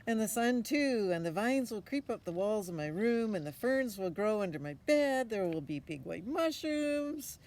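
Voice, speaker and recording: A woman's voice: medium pitch, expressive, normal speed, hardly any pauses, a little mellow, voice pushed up, natural voice. An older woman voicing an eager, confident young boy in a storybook, earnest and emphatic, recorded outdoors. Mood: eager, assured. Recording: outdoor ambience with noticeable background noise.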